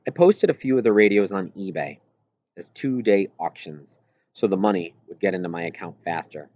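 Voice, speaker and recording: Male audiobook reader, lowish voice, first-person narration, slightly dull lo-fi recording. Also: lively intonation, moderate pace, darkish tone. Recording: roomy echo, slightly dull lo-fi sound.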